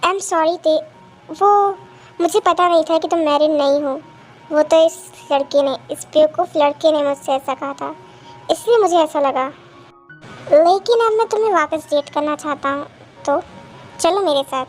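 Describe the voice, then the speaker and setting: Brief: arrogant bossy young man, voiced by a girl, gruff and lowered, bullying tone. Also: moderate pace, faint music behind, lightly acted. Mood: pushy. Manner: scolding, insulting.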